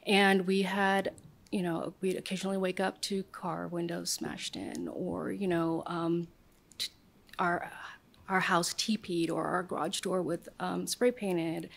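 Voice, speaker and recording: A woman in her forties, raised in Southern California, telling her story as a guest in an interview. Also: lowish voice. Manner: animated storytelling, voices other people in quotes. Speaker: guest, city office director. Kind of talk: conversational interview.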